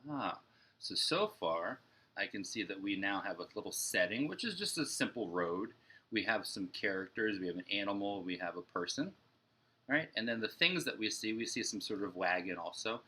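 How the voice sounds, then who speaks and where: A man's voice: lowish voice, lively intonation, moderate pace, pushed and bright, husky. A young man explaining story structure to young children as a teacher, in a read-aloud lesson. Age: young adult.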